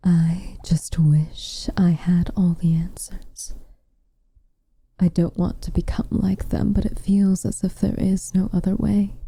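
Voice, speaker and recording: A woman playing a protective, brooding, weary but tender witch in an ASMR roleplay, speaking softly and gravely, often in a whisper, close to the mic. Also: lowish voice, no room sound, exaggerated voice. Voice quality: soft, breathy, often whispering. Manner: measured, grave, formal phrasing. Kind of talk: ASMR roleplay, slow intimate acting. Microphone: close microphone, dry.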